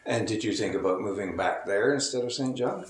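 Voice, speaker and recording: A man's voice: lowish voice, fairly quick. A man hosting a casual interview, chatty and asking leading questions. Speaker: host. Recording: roomy echo.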